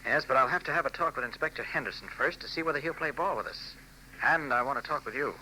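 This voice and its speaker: An actor playing a confident newspaper reporter hero in a 1940s radio play, calm and assured, on an old broadcast with faint noise. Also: male voice, medium pitch, fast talker, low-quality recording.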